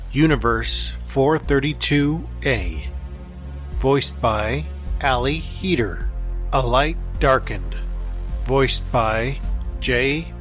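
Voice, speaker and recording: A man's voice: mid-range voice, a bit bright. A man reading scripted episode credits as an announcer.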